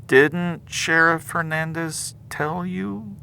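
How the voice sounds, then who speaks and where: Bright, pressing investigator. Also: medium pitch, fairly even intonation, slow, creaky, slight background noise, exaggerated voice, rough recording. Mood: brisk, official. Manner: businesslike, probing. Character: brisk, pressing, suspicious investigator. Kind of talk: audio drama acting.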